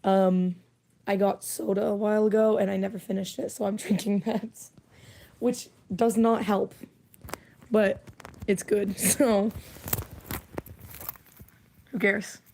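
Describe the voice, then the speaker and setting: Hoarse, gravelly-voiced woman streamer. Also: mid-range voice, little pitch movement, takes it slowly, pauses often, darkish tone. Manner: rambling, giggly, full of fillers. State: hoarse, losing her voice. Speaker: livestreamer. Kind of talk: casual live-stream chat.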